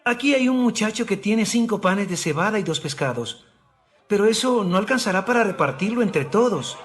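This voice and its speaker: High-voiced earnest disciple. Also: male voice, lively intonation, normal speed, a bit bright. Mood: earnest, doubtful. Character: Andrew, earnest male disciple of Jesus. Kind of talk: dramatized audio Bible acting.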